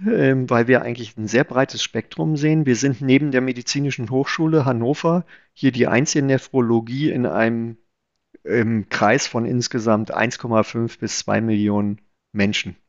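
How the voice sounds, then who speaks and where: A man's voice: mid-range voice, moderate pace, no echo. A middle-aged man from Germany, a chief physician, explaining his work in a conversational podcast interview with frequent hesitations. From Germany (Palatinate-born, raised in Berlin). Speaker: guest, chief physician in nephrology. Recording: dull, dry remote recording.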